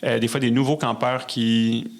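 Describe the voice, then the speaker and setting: A man's voice: medium pitch, level tone, normal speed. A man with a Québécois French accent talking casually as an interview guest, reflective and a little hesitant. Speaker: podcast guest, musician and storyteller.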